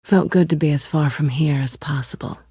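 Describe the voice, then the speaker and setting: Sardonic female thief, video game dialogue, slightly low pitch, breathy husky voice, flirtatious, understated, dry studio sound. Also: a little fast, warm tone, dry recording. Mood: guarded, matter-of-fact.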